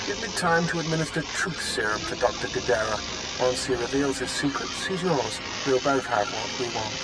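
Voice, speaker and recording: A man's voice: medium pitch, expressive, moderate pace, very noisy, natural voice. A man playing a composed, calculating villain in a video game, formal and coolly polite. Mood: coolly persuasive. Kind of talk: video game cutscene acting.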